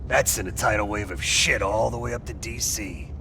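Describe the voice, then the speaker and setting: An actor playing a brash, foul-mouthed, cocky covert operative in a video game, with a gravelly voice and a swaggering, teasing way of talking. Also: male voice, on the low side, fairly even intonation, moderate pace, noisy background. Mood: indignant, animated. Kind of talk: video game character dialogue.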